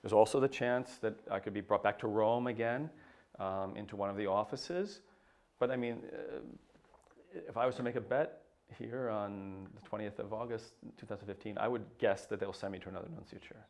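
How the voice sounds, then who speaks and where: A middle-aged man speaks in a lowish voice. He answers an interviewer slowly and reflectively, stumbling into frequent restarts and fillers. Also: a little mellow. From United States. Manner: hesitant, many restarts and fillers. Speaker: papal nuncio, interview guest.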